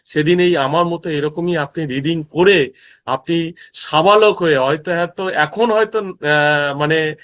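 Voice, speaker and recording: A man's voice: on the high side, measured pace, a bit bright. A man teaching a course, emphatic, repeating phrases and often checking that students follow. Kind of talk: online lesson with worked examples. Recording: dull lo-fi sound.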